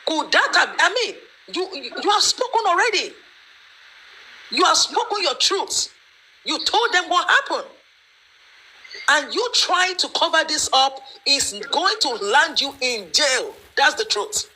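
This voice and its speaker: A woman's voice: sits high, animated, unhurried, pushed and bright, rough recording. A woman giving her opinion in an online discussion, emphatic and lecturing, with many fillers. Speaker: panelist.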